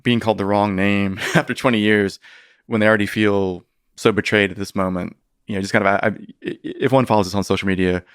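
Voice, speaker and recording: A man with a lowish, resonant voice speaks as a historian guest on a podcast. He answers thoughtfully, hedging and restarting often, recorded close and dry in a studio. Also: steady tone, a little fast, smooth, dry recording. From United States. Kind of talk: podcast interview.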